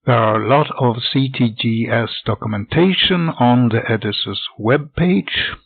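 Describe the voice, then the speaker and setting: Older man, husky voice, methodical tutorial, dry tinny lo-fi recording. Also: medium pitch, unhurried, no echo. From Sweden. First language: Swedish. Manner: methodical, plain step-by-step explaining. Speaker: hobby game developer. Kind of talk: software tutorial voice-over.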